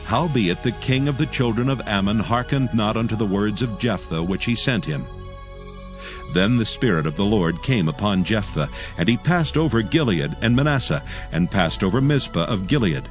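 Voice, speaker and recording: Low-voiced man narrating scripture. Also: expressive, normal speed, music in the background.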